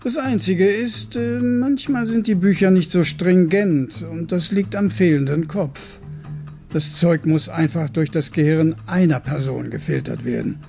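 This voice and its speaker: An actor playing a tactful male boss in a radio drama, speaking in a measured, authoritative way. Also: a little high, gravelly, dark tone, soft background music. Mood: firm, tactful.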